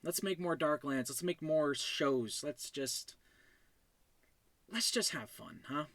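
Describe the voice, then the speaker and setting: A man's voice: on the high side, expressive, takes it slowly, vocal fry, fairly bright tone, no room sound. A man hosting his own show, talking casually and effusively, emotional and overjoyed, stammering and trailing off as he goes. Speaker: host and creator of an animated web series. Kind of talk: casual unscripted thank-you monologue.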